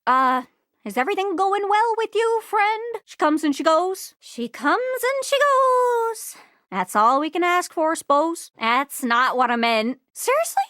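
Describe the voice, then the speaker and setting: A woman voicing an excitable, scatterbrained globe character in a video game, with a folksy Newfoundland accent and a bubbly, exclamatory manner. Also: very high voice, unhurried, dry recording, studio quality, heavily acted. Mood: friendly, wistful. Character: excitable, scatterbrained living globe longing to travel.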